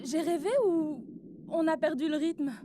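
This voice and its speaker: A woman voicing an impulsive, well-meaning young girl in a children's cartoon, eager and expressive. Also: high-pitched, measured pace, very noisy. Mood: sheepish. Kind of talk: dubbed children's cartoon acting.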